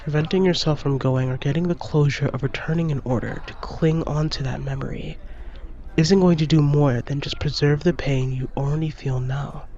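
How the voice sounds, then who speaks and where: Higher-pitched, frank starship crewman, acted, slightly dull recording. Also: fairly even intonation, moderate pace, dark tone, lightly acted. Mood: earnest, supportive. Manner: direct, plain-spoken, persuasive. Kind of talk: sci-fi audio drama.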